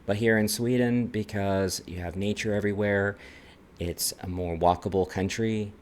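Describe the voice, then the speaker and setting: A man's voice: lowish voice, steady tone, normal speed, a little mellow, a little hiss or hum. A man from Texas with an American accent, talking about his own life as a vlogger. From Texas, United States. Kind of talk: personal talk to camera, numbered list.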